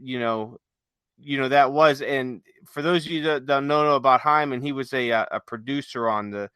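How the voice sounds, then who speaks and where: A man's voice: mid-range voice, normal speed, a bit bright, smooth, dry recording. A man co-hosting a podcast in casual, enthusiastic, rambling explanations, sometimes reading from notes. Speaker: podcast co-host. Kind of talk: casual chat with some read-aloud notes.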